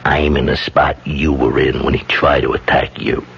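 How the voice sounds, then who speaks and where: A man's voice: extremely low pitch, flat delivery, moderate pace, gravelly, fairly bright tone, husky, exaggerated voice. An actor playing a sly, blunt jailed hitman with slangy, taunting speech in a radio drama. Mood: menacing. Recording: muffled, noisy vintage radio recording.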